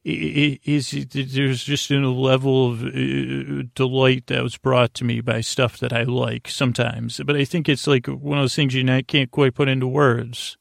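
A man hosting a bedtime podcast in a creaky, mumbling voice, meandering off on tangents. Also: medium pitch, flat delivery, measured pace, few pauses, no room sound, studio quality. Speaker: podcast host. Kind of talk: rambling bedtime podcast intro.

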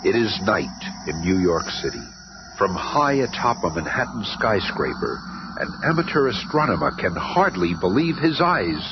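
A theatrical male narrator tells a comic-book adventure with suspense. His pitch swoops dramatically. The old radio recording is muffled and noisy. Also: sing-song, moderate pace. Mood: suspenseful.

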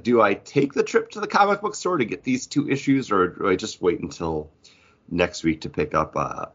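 A man's voice: deep voice, lo-fi sound. A man co-hosting a podcast, talking in a casual, conversational style. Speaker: podcast co-host. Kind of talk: casual comic book chat.

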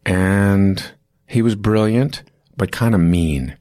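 A man's voice: low voice, slow, warm tone, no room sound, studio quality. A man in his fifties, an acting teacher, telling long stories in an interview, rambling with restarts and fillers. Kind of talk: casual interview storytelling.

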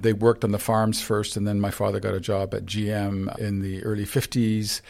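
A man's voice: lowish voice, little pitch movement, normal speed, vocal fry, darkish tone. A Canadian man in his sixties, a photographer answering interview questions in an earnest, rambling way with frequent restarts. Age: 60s. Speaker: photographer guest.

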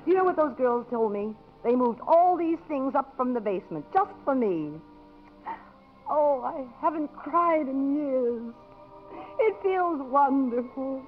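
An actress playing a brisk, wry, privately sentimental congresswoman in the style of 1950s American radio drama. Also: high voice, animated, soft background music. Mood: wistful, delighted. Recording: muffled, noisy 1950s radio broadcast recording.

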